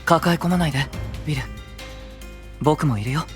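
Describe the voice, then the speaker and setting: An actor playing a gentle, caring young male knight, soft and earnest, in a fantasy voice drama. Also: a little high, moderate pace, faint music behind, natural voice. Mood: soft, thoughtful.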